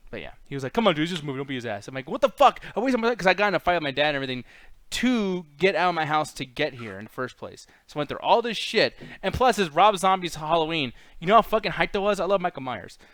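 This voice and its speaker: A man's voice: a little high, sing-song, quick pace, a bit bright, dry recording. A man co-hosting a casual chat, telling rambling, profane anecdotes and acting out the quoted lines. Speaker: co-host of a movie discussion show.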